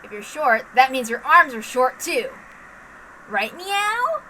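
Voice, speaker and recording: A woman reading dramatic scripted narration in a measured, deliberate manner. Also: sits high, sing-song, sharp tone, a little hiss or hum, low-quality recording. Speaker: narrator, reader.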